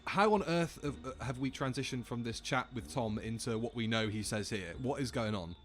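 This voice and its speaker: A man's voice: mid-range voice, normal speed, soft background music. A man giving casual, joking, bemused commentary on a film.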